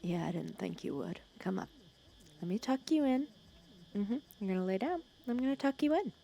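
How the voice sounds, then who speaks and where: A female villain voiced by a woman in an intimate ASMR audio drama, fussing over her nemesis with sarcastic, protective scolding. Her voice swings expressively up and down, often falling into a creaky, hushed murmur. She teases and threatens in the same caring breath. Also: mid-range voice, lively intonation, normal speed. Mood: teasing, caring.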